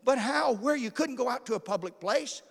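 A male preacher with a notably high voice, heard in a large hall with long reverb. He delivers his sermon as storytelling, with dramatic emphasis and phrases repeated for effect. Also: expressive, normal speed, creaky. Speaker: missionary preacher.

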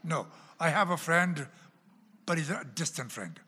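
Male Welsh pastor, Texan traces, lively sweeping pitch, long reverberant church hall. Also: unhurried, breathy edge. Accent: Welsh with Texan and Oklahoma traces. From Wales. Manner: dramatic, wide swings from loud emphasis to hushed asides. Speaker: pastor teaching a class. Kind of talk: sermon-style Bible teaching.

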